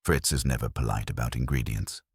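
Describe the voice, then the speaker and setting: A man voicing a wry, quick-witted detective's assistant in a scripted story, deadpan. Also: rumbling low, slightly rough, no room sound, studio quality, voice pushed down, heavily acted. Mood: dry, businesslike.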